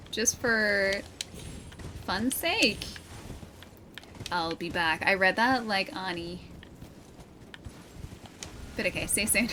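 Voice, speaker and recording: A woman's voice: fairly high pitch, fairly bright tone, a little hiss or hum. A woman with a mild Australian accent and a slightly nasal voice, chatting casually and laughing often while she plays and streams a game. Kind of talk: casual gameplay commentary.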